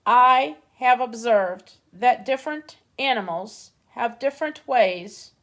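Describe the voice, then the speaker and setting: A polite female robot from a storybook read-aloud, spacing out each word slowly and evenly, as a woman voices her. She stays calm even when the animals panic. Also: fairly high pitch, heavily acted. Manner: slow, even, deliberate.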